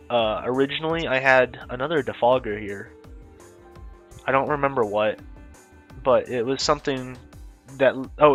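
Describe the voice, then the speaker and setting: A man's voice: medium pitch, fairly bright tone. A young man with a head cold, sounding stuffy, giving rambling, offhand casual commentary on his game team with self-mocking asides. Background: faint music.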